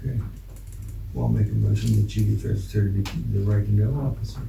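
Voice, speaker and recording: A man's voice: lowish voice, fairly even intonation, fast talker, far from the mic. An American man speaking informally as a council member during a meeting discussion. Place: indoor council chamber. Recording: echoey room, off mic, clear background noise.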